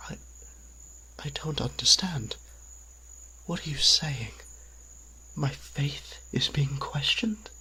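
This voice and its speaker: A man playing an anguished, devout, centuries-old vampire priest in an audio drama, speaking in a breathy, halting, pleading way. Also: on the high side, expressive, pushed and bright, heavily acted. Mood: shocked, pleading. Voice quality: breathy, husky, often near whisper. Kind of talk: dramatic audio roleplay acting.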